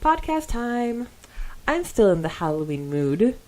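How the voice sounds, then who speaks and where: A woman co-hosting a podcast, chatting casually and enthusiastically as she reads out and reacts to listener comments, quick to giggle. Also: fairly high pitch, sing-song, warm tone. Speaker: podcast co-host. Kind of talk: casual podcast talk, reading and discussing fan comments.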